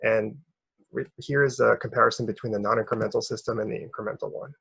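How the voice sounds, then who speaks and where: Male academic presenter, very husky and gravelly, halting delivery, slightly muffled recording. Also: fairly low pitch, steady tone, a bit bright, no room sound. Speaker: researcher presenting a paper.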